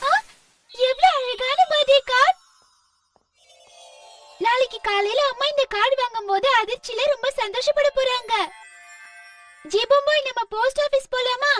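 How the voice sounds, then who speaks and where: A woman voicing a sweet, eager young schoolgirl in a children's cartoon, expressive and childlike. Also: very high-pitched, moderate pace, pauses often. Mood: excited. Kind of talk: Tamil-dubbed children's cartoon acting. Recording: distant sound under loud background music.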